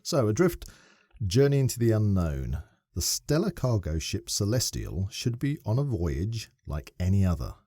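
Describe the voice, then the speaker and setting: A man co-hosting a podcast in casual chat, animated and playful, reading a synopsis aloud. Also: on the low side, normal speed, few pauses, dry recording. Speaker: podcast co-host.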